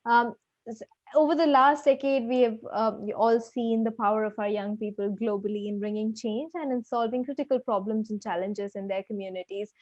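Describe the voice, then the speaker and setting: A woman giving a prepared, earnest and formal presentation as a program director, with hesitant pauses. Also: on the high side, steady tone, darkish tone, dry recording. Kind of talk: prepared presentation at an online festival. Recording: dull, muffled lo-fi audio.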